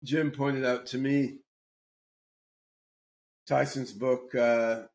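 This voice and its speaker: A man hosting a casual podcast conversation, talking in a halting way with many repeated words and restarts. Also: medium pitch, little pitch movement, a little mellow. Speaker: podcast host.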